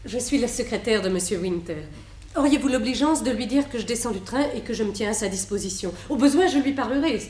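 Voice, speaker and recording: Actress as an insistent secretary, echoey high voice. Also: female voice, lively intonation, fast, darkish tone, lightly acted. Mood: polite, insistent. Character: brisk, polite, insistent female secretary. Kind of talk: French radio detective drama acting.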